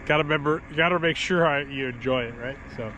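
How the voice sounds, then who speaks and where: A man talks through his day in a loose, rambling vlog style, chuckling now and then, his nose stuffed up from a cold. He is outdoors by the water in winter. Wind and water noise are clearly heard behind him. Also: a little high, fast, highs cut off. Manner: rambling, relaxed, chuckles between thoughts. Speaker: fishing vlogger. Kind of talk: casual outdoor vlog commentary.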